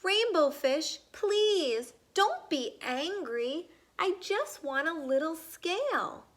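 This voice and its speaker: Tiny storybook fish boy woman performing: very high, bright, timid, sweet, childlike. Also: big pitch swings, slow, exaggerated voice. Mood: timid pleading. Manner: pleading, playful childlike delivery. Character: small, timid, sweet little blue male fish.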